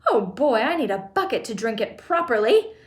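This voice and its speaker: A woman's voice: on the high side, very animated, moderate pace. Woman doing a greedy, exclaiming young boy. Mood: greedy delight.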